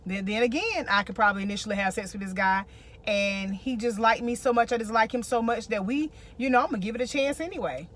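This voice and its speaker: A woman's voice: fairly high pitch, fast, fairly bright tone. An American woman co-hosting a relationship vlog, giving casual advice and repeating phrases for emphasis.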